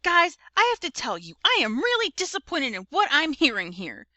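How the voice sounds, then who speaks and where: A woman's voice: medium pitch, very animated, fairly quick, bright, forceful tone, no room sound, highs cut off. A woman voicing a stern mother of young boys, earnest and reproachful, in an emphatic storybook reading.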